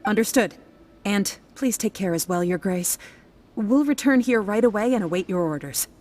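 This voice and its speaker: A woman voicing a respectful, alert female guard in a video game, crisp and polite. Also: medium pitch, animated, normal speed, darkish tone, faint music behind, lightly acted. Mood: dutiful.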